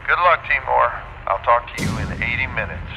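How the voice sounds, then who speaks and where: A man's voice: mid-range voice, animated, moderate pace, vocal fry. An actor playing a friendly, cautious man on a two-way radio, questioning with concern in an audio drama. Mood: sober. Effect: two-way radio filter. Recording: thin, noisy radio transmission.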